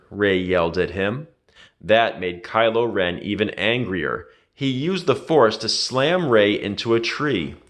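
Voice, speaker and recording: A man's voice: on the low side, fairly bright tone. A man reading a children's adventure story aloud with dramatic, emphatic storytelling. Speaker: storybook reader.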